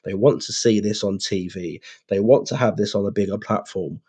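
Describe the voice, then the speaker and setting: A man's voice: on the low side, normal speed, a little mellow, breathy edge. A British man co-hosting a podcast in casual, blokey, sweary chat with a friend. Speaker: podcast co-host.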